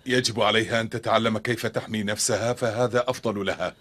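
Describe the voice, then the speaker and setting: A man's voice: fairly low pitch, steady tone, fast, fairly bright tone. An actor playing a firm, protective middle-aged father in a cartoon dub, speaking earnestly and formally. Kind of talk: dubbed cartoon acting in formal speech.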